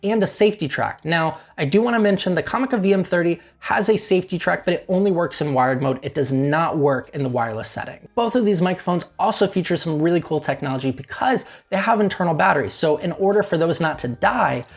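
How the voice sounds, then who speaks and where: A young man with a fairly high voice hosting a casual product review, chatting to viewers and tossing in joking asides. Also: lively intonation, fast talker, few pauses. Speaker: tech reviewer, channel host.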